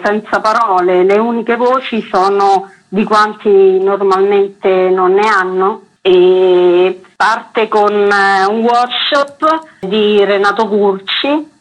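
Slow-speaking woman festival director on radio. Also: mid-range voice, rough recording. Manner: measured, explanatory, with hesitations. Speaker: arts festival director, guest. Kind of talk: radio interview. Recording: radio broadcast.

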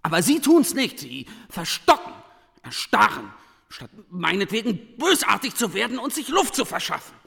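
A man's voice: sits high, big pitch swings, moderate pace, gravelly, a bit bright, husky, big-room sound, exaggerated voice. A man in a radio play acting an earnest, brooding schoolteacher who questions and reflects with intensity. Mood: agitated. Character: brooding, earnest male schoolteacher, fascinated and indignant. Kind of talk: German radio drama dialogue.

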